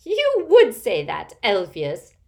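A woman's voice: mid-range voice, huge pitch swings, takes it slowly, vocal fry, voice pushed up, full character voice. A woman voicing a haughty, spiteful, tipsy old witch aunt in a storybook, cackling and screechy, with an emphatic gossipy manner. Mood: scornful.

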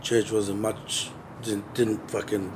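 A man's voice: fairly low pitch, level tone, slow pace. A man telling his story loosely, swearing constantly and laughing easily. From New Zealand. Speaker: musician guest. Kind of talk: casual interview chat. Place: balcony outdoors. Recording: outdoor balcony with background noise.

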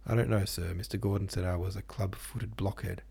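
A man's voice: low-pitched, fairly even intonation, fairly quick, gravelly, breathy edge, exaggerated voice. A man voicing a frightened, shy schoolboy who answers his teachers timidly. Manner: timid, quiet.

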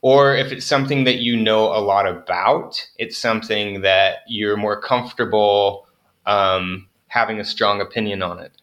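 A man's voice: on the low side, moderate pace, fairly bright tone, lo-fi sound. A young man, a farmer guest on an interview, chatting casually with lots of fillers and self-deprecating jokes.

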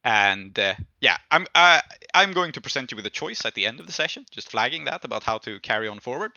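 A man's voice: medium pitch, expressive, fast talker, pushed and bright, no room sound, lo-fi sound. A man acting as game master, narrating casually and informally to the players, with frequent affirmations and some swearing.

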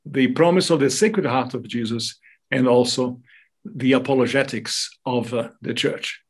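A man's voice: medium pitch, a little slow, husky. A man from Brazil, a native Portuguese speaker with a Brazilian accent, explaining things as a guest speaker in an interview. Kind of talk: interview talk, explaining devotional topics.

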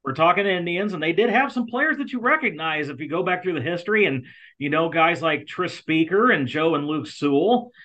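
A man's voice: fairly high pitch, expressive, a little fast, sharp tone. An American man giving conversational sports talk as a guest contributor on a show. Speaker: guest sports contributor, college athletic communications director. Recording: slightly dull, lo-fi sound.

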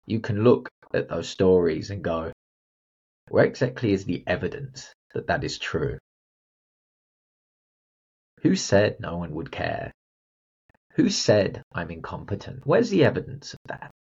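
Blunt man, husky voice. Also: sits low, sing-song, measured pace, pauses often, a little mellow, dull audio. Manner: emphatic, blunt, direct address to listener. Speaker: podcast host and confidence coach. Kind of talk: motivational solo podcast.

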